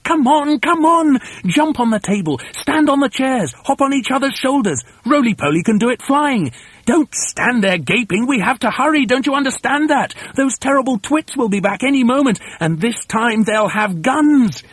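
A bossy father monkey, barks orders in a high, swooping voice. He is impatient and wildly excitable, with a rough edge to the sound, like a storybook leader in a frenzy. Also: unhurried, few pauses, no echo, full character voice. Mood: frantic. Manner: shouting orders, exclamatory, impatient.

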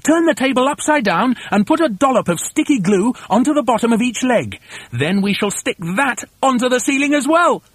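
Storybook monkey chief, frantic, bossy, shouting, high-pitched, wildly varied intonation. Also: male voice, lively intonation, a little slow, few pauses, slightly rough, no echo, exaggerated voice. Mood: commanding. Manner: shouting orders, exclamatory, impatient. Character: bossy, frantically excited father monkey leader.

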